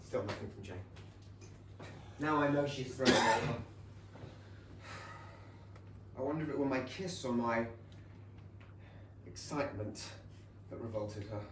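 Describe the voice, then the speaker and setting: A man acting a devout, conflicted young gentleman in a staged play reading, earnest and emotional. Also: medium pitch, normal speed, pauses often, natural voice. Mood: anguished. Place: small theatre, recorded from a distance. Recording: roomy, distant theatre recording, faint noise.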